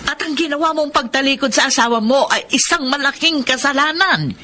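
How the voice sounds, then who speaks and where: A woman's voice: high-pitched, fairly quick, pushed and bright, slight background noise. An actress playing a concerned, reproachful mother counselling her grown daughter, solemn and admonishing in a Tagalog radio drama. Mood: solemn pleading.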